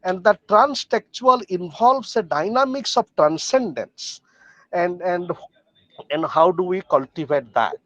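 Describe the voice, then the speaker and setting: High-pitched man, halting academic speaker. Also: expressive, a bit bright, no echo, muffled recording. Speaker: academic discussant. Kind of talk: formal remarks in an online discussion. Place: joining a video call from a train.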